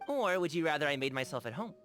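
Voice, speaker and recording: An upbeat young man from a video game, with very lively, swinging intonation. He talks casually and enthusiastically, like an easygoing buddy. Faint music plays underneath. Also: low-pitched, quick pace. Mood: earnest, friendly. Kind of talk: video game character dialogue.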